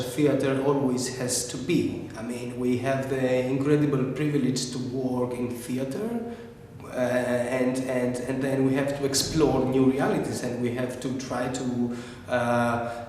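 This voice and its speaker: A man who is not a native English speaker talks at a panel, weighing his words and stumbling through fillers and repeats. His voice sits at a middle pitch and reaches the mic from a distance in a large, long-ringing hall, with faint room noise behind. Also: a little mellow. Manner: thoughtful, halting with many fillers and repeats. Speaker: theater maker. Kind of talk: panel discussion. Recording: echoey hall, far from mic.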